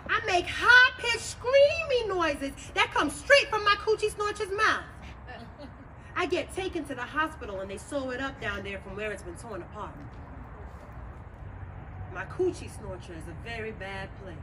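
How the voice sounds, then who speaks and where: A high, girlish voice from a woman on stage plays a hurt, candid child telling memories. It is a live monologue with clear venue noise behind it. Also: expressive, normal speed, pushed and bright. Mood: pained, somber. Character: young girl, wounded and candid, recounting childhood memories. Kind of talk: dramatic monologue at a staged reading. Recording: noisy live venue recording, traffic nearby.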